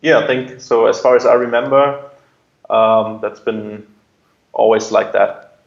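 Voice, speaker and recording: A man's voice: lowish voice, steady tone, unhurried, creaky, reverberant, highs cut off. A man answering interview questions and explaining his work in a conversational way. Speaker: air traffic controller, interview guest.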